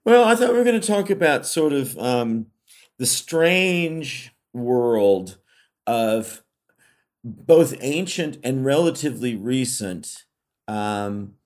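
A man's voice: medium pitch, lively intonation, slow pace, darkish tone. A middle-aged man from California, co-hosting a podcast in casual, reflective conversation full of fillers and restarts. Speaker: podcast co-host.

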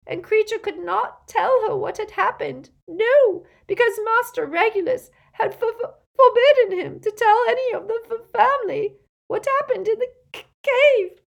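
A woman voicing a frightened, servile old male house-elf in an audiobook, tearful and halting as he speaks of himself in the third person. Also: very high-pitched, sing-song, gravelly, fairly bright tone, voice pushed up, full character voice. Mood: wretched, despairing. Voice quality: tearful, moaning, breaking voice.

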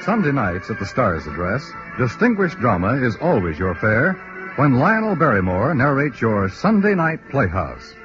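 A man's voice: medium pitch, big pitch swings, normal speed. A man announcing in formal, emphatic 1950s radio style. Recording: dull old radio broadcast recording. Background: music.

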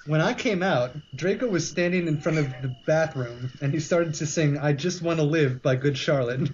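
A man's voice: a little high, expressive, normal speed, a little mellow, muffled recording, a little hiss or hum. A man giving an amused, comic dramatic reading of fan fiction as a podcast co-host. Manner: amused, breaking into laughter while reading.

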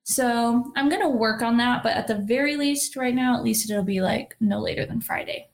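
A woman's voice: fairly high pitch, moderate pace. A young woman in her twenties with a nasal voice, co-hosting a podcast in casual chat, swearing and laughing often. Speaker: podcast co-host.

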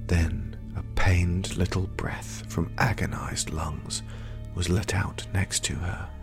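A man narrating a scripted gothic horror story in a hushed, grave, measured manner. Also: low-pitched, creaky.

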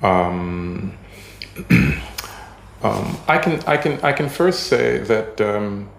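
A man from Haiti whose first language is French, answering interview questions in a halting way, with many pauses and ums as he searches for words. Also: fairly low pitch, animated, creaky, slight background noise. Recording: echoey room.

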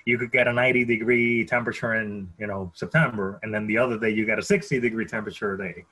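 A man's voice: fairly low pitch, fairly even intonation, fast, sharp tone, slightly rough, low-quality recording. A man in his thirties from Puerto Rico, speaking English with a Puerto Rican accent as a podcast guest. He tells personal stories in a conversational way, doubling back on words and phrases as he thinks.

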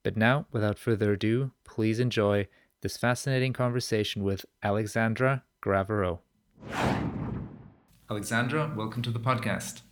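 A man's voice: fairly low pitch, steady tone, moderate pace, dry recording, studio quality. A man hosting a podcast, presenting and interviewing. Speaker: podcast host. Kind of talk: scripted podcast introduction and interview.

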